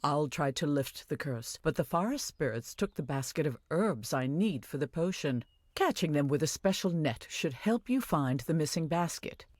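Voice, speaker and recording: An actress playing an older village wise woman in a video game, caring and earnest, giving measured instructions. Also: sits low, expressive, darkish tone, no echo, studio quality. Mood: earnest, urgent. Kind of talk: scripted video game dialogue. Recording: music behind the voice.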